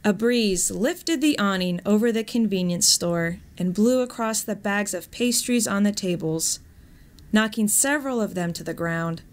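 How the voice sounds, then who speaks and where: A woman reading a scripted story in a measured, expressive storytelling manner. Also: medium pitch. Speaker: story reader, actor.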